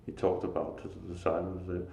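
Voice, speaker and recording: A man with a Danish accent giving a slow, earnest, informal spiritual talk, pausing often. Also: low-pitched, monotone, creaky, mellow, a little hiss or hum. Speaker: lay preacher. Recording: echoey room.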